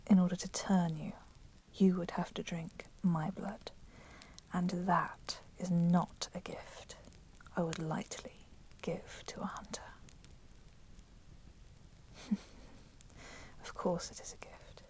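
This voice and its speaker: A woman voicing a proud, resentful female vampire in a whispered ASMR roleplay, speaking softly and deliberately in formal, menacing phrases. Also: fairly low pitch, little pitch movement. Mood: disdainful. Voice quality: soft, breathy whispering. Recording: constant crackling fire noise behind voice. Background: crackling fire.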